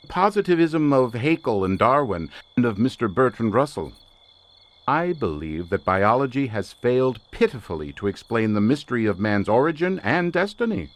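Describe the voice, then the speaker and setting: An actor playing an obsessive, eccentric occult researcher in a radio suspense drama, lecturing in grand theatrical phrases. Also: male voice, mid-range voice, close to an everyday voice. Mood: scornful, passionate. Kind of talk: old-time radio suspense drama acting.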